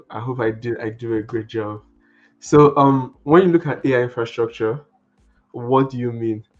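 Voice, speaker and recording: A man's voice: mid-range voice, highs cut off. A man from Nigeria with a Nigerian accent, giving a friendly, casual tech workshop as a guest speaker over a video call. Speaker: guest speaker, network engineer.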